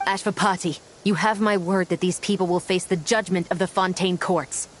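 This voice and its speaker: An actress playing a stern officer of the law in a video game, speaking in a firm, commanding way. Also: female voice, on the low side, fairly even intonation, normal speed, slight background noise, lightly acted.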